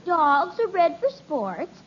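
A woman's voice: extremely high pitch, sing-song, takes it slowly, slight background noise, heavily acted. A prim little girl. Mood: earnest. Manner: prim, earnest, fact-reciting. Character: earnest little girl, youngest daughter. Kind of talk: 1950s radio acting. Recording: dull old radio transcription.

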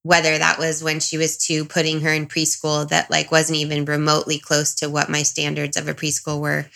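A woman's voice: low-pitched, completely flat delivery, a little fast, vocal fry, bright, forceful tone. A woman in her thirties talking candidly about herself as an interview guest, in a reflective, confiding, self-questioning way. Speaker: interview guest, single parent. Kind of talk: candid personal conversation.

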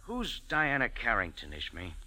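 A man's voice: medium pitch, huge pitch swings, pushed and bright, no room sound. A man in a 1940s radio drama playing a wisecracking café owner who also narrates in a hardboiled tone. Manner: wry, brisk, hardboiled. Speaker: lead and narrator. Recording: old radio broadcast with music.

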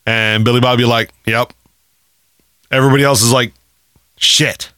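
A man's voice: mid-range voice, fairly even intonation, sharp tone, clean tone, no echo. Dry-voiced man in loose, profane chat. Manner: loose, profane, drawn-out delivery. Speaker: podcast co-host. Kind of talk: casual chat.

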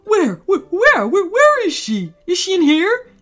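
A woman voicing an excitable talking pillow in a children's story, frantic and stammering. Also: very high voice, measured pace, faint music behind, voice pushed up, full character voice.

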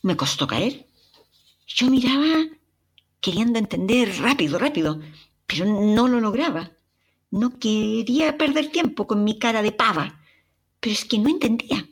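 A young Chilean woman with a Chilean accent and a breathy voice, dramatically telling a personal travel story as a podcast narrator. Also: fairly high pitch, normal speed, pauses often. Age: young adult. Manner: expressive, dramatic retelling with sighs and exclamations.

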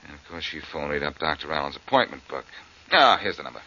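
An actor playing a glib, confident, sardonic amateur detective in 1940s radio drama style. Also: male voice, extremely low pitch, sing-song, fast, sharp tone, a little hiss or hum, exaggerated voice. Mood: determined. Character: wisecracking confident amateur detective, adult man. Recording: dull old radio recording.

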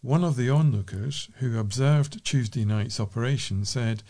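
A man with a dark, mellow voice reads local news as a volunteer. His pitch is average for a man, and he follows a written script. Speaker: volunteer newsreader. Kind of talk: reading local news aloud.

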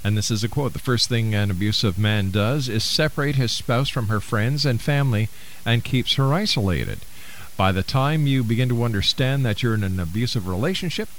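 A man hosting a radio talk show, speaking earnestly and deliberately as he interviews and makes announcements. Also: medium pitch. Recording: hissy, noisy broadcast audio.

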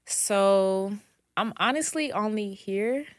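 A woman in her twenties talking as a podcast guest, candid and chatty, laughing easily and swearing freely. Her voice is mid-pitched and sits close and dry, with no room around it. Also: slow pace, dry recording. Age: 20s. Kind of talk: casual interview chat.

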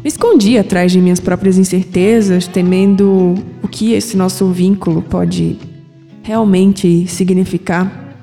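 Troubled young Brazilian woman acted by a woman, pensive and mellow, slow delivery, audio drama with music. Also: fairly low pitch, steady tone, long reverb tail. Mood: pensive, anxious. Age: young adult. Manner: pensive, sighing. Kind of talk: scripted audio drama acting.

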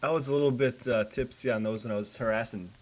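Man, co-host, fast talker, joking, casual chat, mid-pitched. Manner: quick, joking, animated storytelling. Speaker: podcast co-host.

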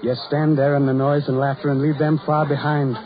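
An actor playing a tormented, lonely ugly sideshow man, brooding and dreamy in halting, broken phrases, in the style of 1940s radio horror. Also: a little high, little pitch movement, lightly acted. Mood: bitter. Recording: muffled vintage 1940s radio recording.

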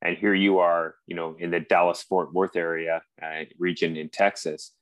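A Canadian man in his forties with a very low voice, chatting over a remote call. He talks earnestly, stopping and restarting his phrases often. The sound is dry and close. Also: level tone, fairly bright tone, no echo. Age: 40s. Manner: earnest, halting with frequent restarts. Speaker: podcast host. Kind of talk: casual conversation.

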